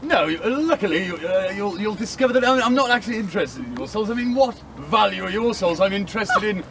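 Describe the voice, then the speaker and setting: A man voicing a dapper, smooth-talking devil with a wandering French accent, recorded outdoors with street noise. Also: sits high, lively intonation, fast. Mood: scheming. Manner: wheedling, bargaining, wavering French accent.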